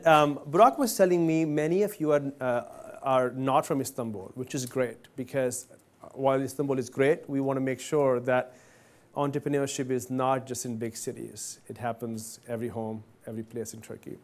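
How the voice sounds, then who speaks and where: A man's voice: medium pitch, normal speed. A man in his forties from Pakistan, giving a conversational talk to students as a company CEO and asking the audience questions directly. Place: university event hall.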